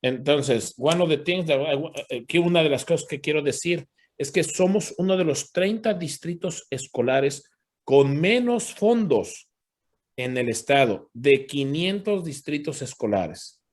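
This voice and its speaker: A man interpreting live at an online community meeting, switching briskly between Spanish and English and using fillers like "este" and "pues". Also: fairly high pitch, expressive, a little mellow. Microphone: video call.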